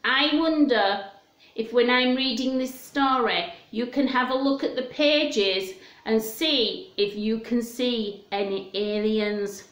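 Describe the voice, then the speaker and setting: A woman's voice: on the high side, takes it slowly, fairly bright tone. A woman, presenting storytime and reading a picture book aloud to children in simple, questioning, child-directed talk. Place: small room at home, indoors. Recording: roomy, echoey home recording.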